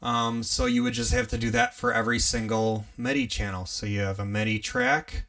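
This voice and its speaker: A young man with an upstate New York accent, hosting a casual live tutorial on music gear, chatty and relaxed, laughing often as he works. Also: fairly low pitch, moderate pace, vocal fry, recorded from a distance. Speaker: livestream host teaching music gear.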